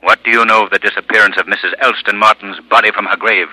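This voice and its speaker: An actor playing an invisible male vigilante in an old radio drama, questioning in a stern, measured, menacing manner. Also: on the low side, fairly even intonation, pushed and bright, no echo, poor audio. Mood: stern, accusing. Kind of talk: 1940s radio drama acting.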